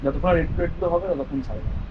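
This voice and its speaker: Very fast-talking Bengali man, dull noisy recording. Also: on the high side, animated, music in the background. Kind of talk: casual hands-on explanation. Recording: dull audio, clear background noise.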